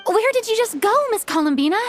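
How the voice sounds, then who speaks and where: A woman's voice: extremely high pitch, lively intonation, fast, soft background music. An actress voicing an inquisitive young female companion in a game cutscene. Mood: puzzled.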